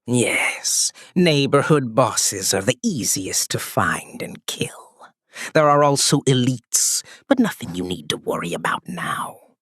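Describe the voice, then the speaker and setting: A tipsy old-hand male guide acted out by a narrator who explains things slowly and patiently. The voice is husky and slightly slurred, as heard in an animated fantasy recap. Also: mid-range voice, no echo, clean studio sound, full character voice. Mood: tipsy explaining. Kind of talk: animated story dialogue.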